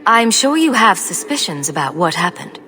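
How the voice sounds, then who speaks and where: Composed heroine in a reverberant hall. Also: female voice, lowish voice, very animated, moderate pace, pushed and bright, a little hiss or hum. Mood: probing. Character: direct, composed adventurer heroine, questioning and wry. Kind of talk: video game voice acting.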